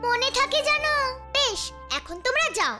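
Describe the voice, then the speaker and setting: Very high, lively cartoon child. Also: moderate pace. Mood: scolding. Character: confident little magical child, bossy but kind-hearted helper. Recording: loud children's cartoon music behind.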